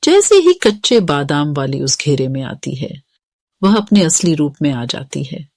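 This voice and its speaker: A woman's voice: sits low, sing-song, measured pace, a little mellow. A woman narrating a scripted children's story.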